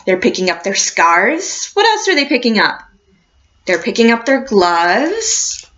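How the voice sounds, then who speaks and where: A woman with a fairly high, very lively voice reads a storybook to young children in a teacher's encouraging way. Her delivery is expressive and instructive, and the recording sounds slightly dull and lo-fi. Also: unhurried. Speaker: teacher reading a picture book aloud to young children. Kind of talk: storybook read-aloud with questions to a class.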